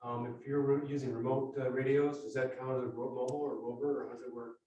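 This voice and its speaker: A man's voice: medium pitch, flat delivery, quick pace, warm tone. A man asking questions from the audience, picked up at a distance in a meeting room. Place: meeting room, away from the microphone. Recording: distant, roomy, muffled, noisy pickup.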